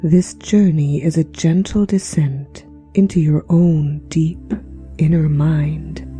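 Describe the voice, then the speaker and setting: A woman narrating a guided meditation in a soft, breathy, half-whispered voice with a gentle, soothing, hypnotic manner. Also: low voice. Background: relaxation music.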